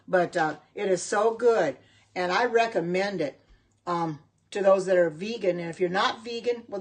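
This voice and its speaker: A chatty middle-aged American woman talks her way through a home livestream in her kitchen, her voice sitting at an ordinary middle pitch. She rambles, loops back on herself and stops to answer viewers' comments as they come in. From United States. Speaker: home cook and vegan livestreamer. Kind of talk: casual livestream chat with viewers.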